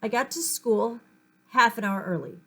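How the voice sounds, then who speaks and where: A woman reading a children's story aloud in an expressive, dramatic storytelling manner. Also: on the high side, normal speed. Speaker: audiobook-style reader.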